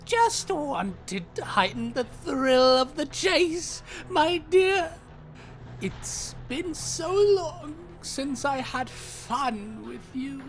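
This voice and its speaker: Dragon's teasing henchwoman, fantasy audio play: very drawn-out, animated, predatory, theatrical. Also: sits high, soft background music, heavily acted. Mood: teasing, gleeful. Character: sly, taunting, playful female predator serving a dragon. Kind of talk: fantasy audio roleplay acting.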